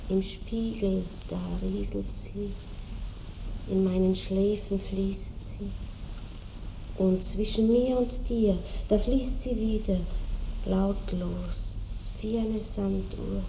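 A woman's voice: a little high, mellow, natural voice, low-quality recording. An actress playing a melancholy, tender aristocratic woman musing on time in an Austrian accent, wistful and lilting, in a classic radio drama. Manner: wistful, musing, lilting old-fashioned speech. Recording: faint hiss of old radio recording.

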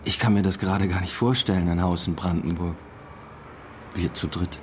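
An actor playing a reflective academic who narrates his own life in a measured, understated way in a radio drama. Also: low-pitched, fairly even intonation, slight background noise, lightly acted. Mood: questioning. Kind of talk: first-person radio drama narration with dialogue.

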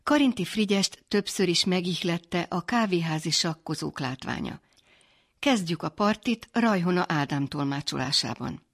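A woman's voice: on the low side, expressive, husky. A woman presenting a radio programme with scripted links.

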